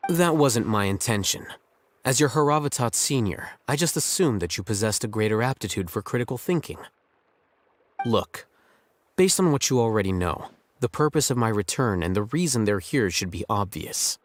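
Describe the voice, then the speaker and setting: A man voicing an aloof young scholar who speaks in a measured, dry, cutting way. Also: on the low side, animated, slight background noise, lightly acted. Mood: cool. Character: aloof male scholar, cool and condescending. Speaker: scholar, scribe.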